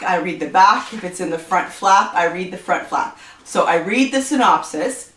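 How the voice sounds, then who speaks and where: A woman's voice: medium pitch, fairly bright tone. Chatty stuffed-up woman, roomy and distant. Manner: chatty, rambling, frequent fillers. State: stuffed up from allergies. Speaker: book vlogger. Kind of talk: casual chat to camera.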